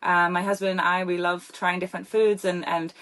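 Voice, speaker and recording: A woman's voice: fairly low pitch, flat delivery, brisk, a bit bright. A young woman with a British accent, chatting as a guest in an interview with many fillers and restarts. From Germany. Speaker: guest, professional pickleball player. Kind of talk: casual interview chat.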